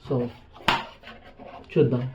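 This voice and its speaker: A man with a Telugu accent doing a casual, unscripted product walkthrough in a hesitant, halting way, mixing in English words. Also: narrow, tinny audio, slight background noise. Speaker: vlogger presenting a product unboxing.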